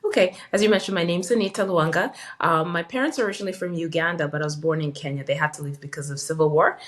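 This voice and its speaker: A woman's voice: deep voice, fast. A middle-aged woman from Western Canada, a physician and podcast guest, talks in a reflective, conversational way with many fillers and restarts. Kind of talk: casual interview conversation.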